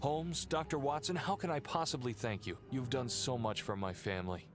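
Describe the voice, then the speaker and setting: An actor playing a courteous, earnest aristocratic heir in a video game, speaking in a formal way. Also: male voice, medium pitch, moderate pace, lightly acted. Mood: grateful. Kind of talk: video game cutscene acting. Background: game music.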